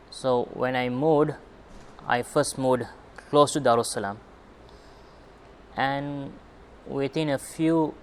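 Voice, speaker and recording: A young man who grew up in Sri Lanka, telling his own story in an interview in an unhurried way, often searching for his words. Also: medium pitch, a little hiss or hum. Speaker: former student interviewee. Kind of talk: reflective personal interview.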